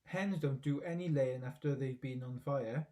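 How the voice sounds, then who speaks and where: A man voicing a loud, scornful, boastful old grandmother in a story. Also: medium pitch, normal speed, natural voice. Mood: alarmed jeering. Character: bossy, shouting, cackling old grandmother.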